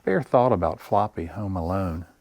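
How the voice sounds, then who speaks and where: A man hosting a library story time, reading picture books to young children in a deliberate, expressive way with pauses for effect. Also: deep voice. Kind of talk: picture-book read-aloud for young children.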